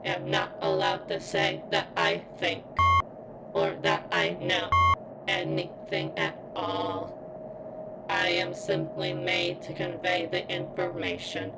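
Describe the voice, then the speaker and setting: A woman voicing a guarded robot girl who yearns for sentience in an ASMR roleplay, speaking in stilted, formal, halting phrases with a strained, pained sound. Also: very deep voice, lively intonation, vocal fry, very noisy, heavily acted. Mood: strained, clinical. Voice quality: strained, as if in constant pain.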